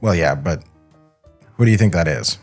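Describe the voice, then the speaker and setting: A man's voice: low-pitched, expressive, moderate pace, a little mellow, faint music behind. A man co-hosting a podcast in a casual, bantering chat. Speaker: podcast co-host. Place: podcast studio.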